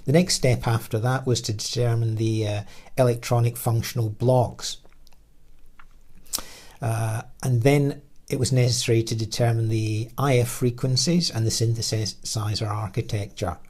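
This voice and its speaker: Man, engineer presenter, technical explanatory lecture, mid-pitched voice, halting phrasing, frequent pauses. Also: fairly even intonation, darkish tone. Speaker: radio designer and engineer presenting.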